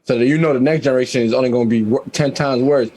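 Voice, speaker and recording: A man co-hosting a talk show, rambling quickly through his point in casual slang. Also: medium pitch, steady tone, warm tone, a little hiss or hum, rough recording. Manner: rapid, rambling, says bro a lot. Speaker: podcast co-host. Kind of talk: casual debate chat.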